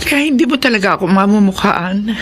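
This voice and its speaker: A woman's voice: medium pitch, lively intonation, fast talker, over music, lightly acted. An actress playing a meek, sorrowful middle-aged mother in a Filipino radio drama, speaking in a hesitant, pleading way.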